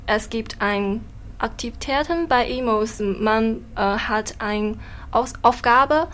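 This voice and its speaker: A woman's voice: medium pitch, slight background noise. A young woman from China with a Chinese accent, an exchange student answering hesitantly in learner German. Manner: hesitant, self-correcting.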